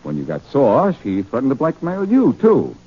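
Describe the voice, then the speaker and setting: An actor playing a wry, confident detective hero in 1940s radio drama style, with a teasing manner. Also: male voice, mid-range voice, wildly swooping pitch, moderate pace, slightly rough. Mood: taunting, accusing. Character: wry, confident amateur sleuth hero, adult man. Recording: muffled, noisy 1940s radio recording.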